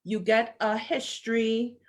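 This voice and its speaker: A woman from Trinidad, speaking in a community discussion in a hesitant, thoughtful way with many pauses. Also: fairly high pitch, level tone. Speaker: debate participant. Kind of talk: discussion argument.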